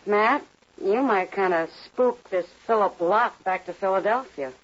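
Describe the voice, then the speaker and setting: A woman's voice: medium pitch, measured pace, natural voice. An actress playing a worldly, protective, plain-spoken saloon woman in an old western radio drama. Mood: practical. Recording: dull vintage radio recording.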